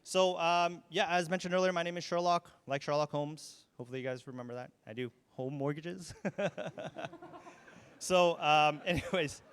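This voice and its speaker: Man, smooth and resonant, cheesy-joke sponsor pitch in a reverberant event hall. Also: steady tone, moderate pace. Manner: jokey, laughing, filler-heavy. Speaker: mortgage broker, sponsor.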